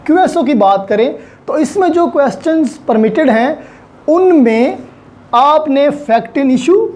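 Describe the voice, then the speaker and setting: Pakistani guy teaching law, really slow, mellow voice, keeps rewording stuff with english terms mixed in. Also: very high voice, expressive. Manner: repeats and rephrases points, mixing in English terms. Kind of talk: explanatory lecture.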